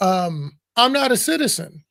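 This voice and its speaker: A man's voice: sits high, very animated, normal speed, vocal fry, a bit bright, dry recording, clean studio sound. A man co-hosting a casual political talk show, talking bluntly and animatedly and laughing between points. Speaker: co-host.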